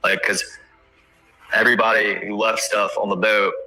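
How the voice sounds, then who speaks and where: A young man answering interview questions casually, halting and rambling as he keeps correcting himself. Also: on the low side, little pitch movement, creaky, pushed and bright, faint music behind, low-quality recording.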